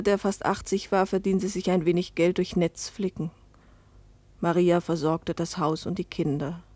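A woman's voice: fairly low pitch, darkish tone, no room sound. An actress playing a poor fishing-town woman who recounts her hard life in a restrained literary radio play narration.

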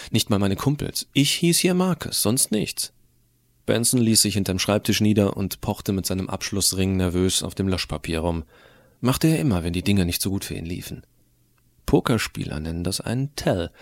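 A cocky teenage schoolboy played by a man tells his own story in an audio drama. The voice is fairly low and recorded dry. His narration is irreverent, sardonic and full of slangy, colloquial turns. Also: no echo. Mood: wry, confiding. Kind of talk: first-person scripted narration, fan audio drama.

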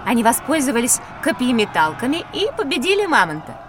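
A woman's voice: a little high, very animated, a little fast, slight background noise. A woman playing an eager, attentive child who helps tell a story in a children's educational audio drama.

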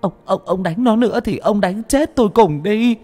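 A man's voice: high-pitched, normal speed, mellow, faint music behind, pitched above the performer's own voice. A man voicing a desperate middle-aged mother who pleads and stammers. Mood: pleading.

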